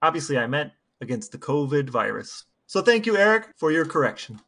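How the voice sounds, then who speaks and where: A man's voice: on the high side, big pitch swings, a little fast, creaky, fairly bright tone. A man co-hosting a podcast, with dry, matter-of-fact humor in casual banter and read-aloud passages. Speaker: podcast co-host. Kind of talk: casual banter, partly reading texts aloud.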